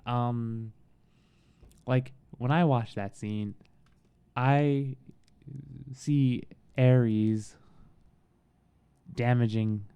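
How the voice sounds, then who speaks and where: A man giving a casual, halting film review in a soothing voice, with frequent pauses and restarts. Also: medium pitch, steady tone, mellow, smooth. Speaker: movie reviewer.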